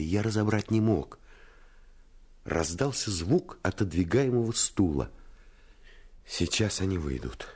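An actor playing an earnest first-person narrator of a boyhood adventure in a radio play, expressive and suspenseful. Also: male voice, sits low, slightly rough, exaggerated voice. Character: grown male narrator recalling his boyhood adventure, earnest.

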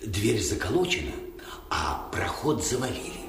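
A man's voice: lowish voice, big pitch swings, measured pace, loud music behind the voice. An actor playing a deferential male henchman in a radio play, reporting to his boss in an obedient, clipped way. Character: Filin, deferential henchman and warehouse keeper. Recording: echoey cellar, distant from microphone.

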